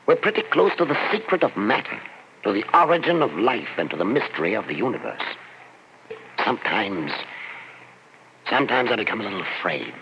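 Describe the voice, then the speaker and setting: An actor playing a thoughtful, uneasy middle-aged professor with a measured, brooding way of talking in an old-time radio drama. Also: male voice, medium pitch. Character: thoughtful, uneasy older professor leading secret research. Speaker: professor, project leader. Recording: muffled, noisy vintage radio recording.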